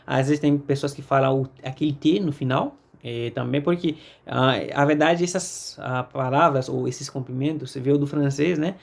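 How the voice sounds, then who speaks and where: A man from Haiti with a Haitian accent, a native Haitian Creole speaker, teaching a casual language lesson in a halting way with frequent check-in tags. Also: fairly high pitch, creaky, darkish tone.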